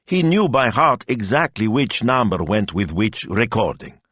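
Male reader playing a brisk, no-nonsense woman, raised pitch, lively. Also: slightly rough, no echo, exaggerated voice. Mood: encouraging.

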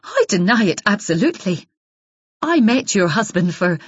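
A woman's voice: fairly high pitch, sing-song, moderate pace, darkish tone, slightly rough, no room sound, lo-fi sound, pitched above the performer's own voice. A woman doing an indignant, strained heroine. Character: indignant woman protagonist under accusation.

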